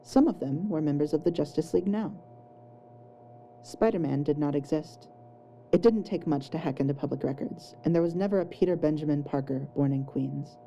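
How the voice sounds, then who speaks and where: Woman narrating fanfic, low voice, super mellow and dark, bit of background hiss. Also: a little fast. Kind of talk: scripted fan fiction narration. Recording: home recording with faint noise.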